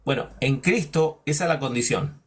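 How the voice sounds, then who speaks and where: Man, Bible teacher, preaching-style lesson, rhetorical, emphatic, medium pitch. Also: moderate pace, slightly rough. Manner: rhetorical, acts out imagined dialogues; emphatic, drops low on verdicts.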